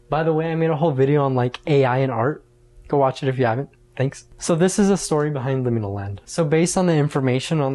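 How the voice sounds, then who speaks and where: A man's voice: on the high side, mellow. A man hosting a casual video essay, talking in an informal, conversational and slangy way.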